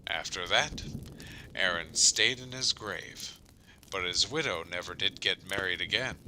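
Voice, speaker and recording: A man's voice: low voice, measured pace, pushed and bright, slight background noise, heavily acted, rough recording. A man playing a folksy village storyteller, telling a spooky tale rhythmically and expressively in an audio drama. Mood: relishing, spooky.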